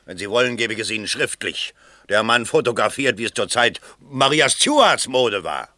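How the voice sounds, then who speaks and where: A man's voice: medium pitch, lively intonation, moderate pace, sharp tone, no echo. A man playing a pompous, crafty small-town political candidate in a 1970s German radio play, speaking in a declamatory way. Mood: boastful.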